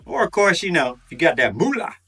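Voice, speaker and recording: A man's voice: fairly high pitch, big pitch swings, fast talker, bright, forceful tone, husky. A man hosting a reaction video, giving casual joking commentary over clips. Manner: joking, chuckling asides. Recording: distant mic with music under.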